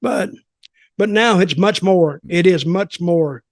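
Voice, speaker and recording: A man's voice: sits high, takes it slowly, no echo, clean studio sound. An older man in casual, rambling, opinionated talk about wrestling as a podcast commentator.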